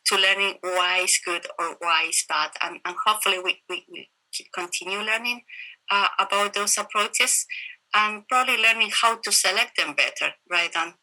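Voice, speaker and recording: A woman with a Chilean Spanish accent, a professor explaining her research in an interview over a video call, phrasing her answers in a halting, reflective way. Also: mid-range voice, sharp tone, rough recording. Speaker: interviewed professor of computational chemistry.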